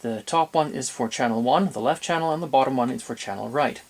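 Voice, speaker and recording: A man's voice: medium pitch, fast, a little mellow. A man presenting an unscripted, methodical demonstration of vintage audio gear, explaining step by step and correcting himself as he goes.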